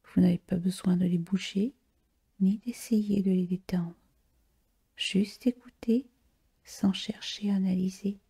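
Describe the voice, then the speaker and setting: Breathy, slow, very mellow woman meditation guide. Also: medium pitch, steady tone. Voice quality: breathy, whispery, soft. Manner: slow, soothing, with long pauses. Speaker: yoga nidra relaxation guide.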